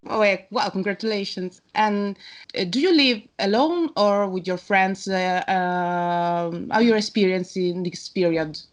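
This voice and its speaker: A woman interviewer speaks at a medium pitch. She puts her questions informally, feeling her way through phrasing. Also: unhurried. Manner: hesitant non-native English phrasing.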